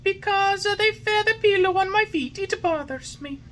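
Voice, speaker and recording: A woman voicing a whiny, stubborn puppet boy in a high, shrill voice. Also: moderate pace. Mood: whining. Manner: shrill, whining, loud.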